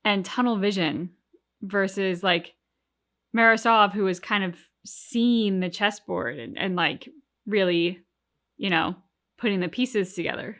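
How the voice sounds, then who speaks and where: A woman hosting a reaction show, discussing things casually and analytically with emphatic, paused phrasing. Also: medium pitch, fairly bright tone. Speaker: reaction channel host.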